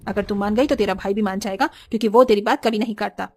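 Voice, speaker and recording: A scheming, scolding village mother from a horror cartoon, played by a woman. She talks quickly and presses every point insistently. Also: medium pitch, fairly even intonation, a little mellow, lightly acted. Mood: insistent, angry. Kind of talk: animated horror story dialogue.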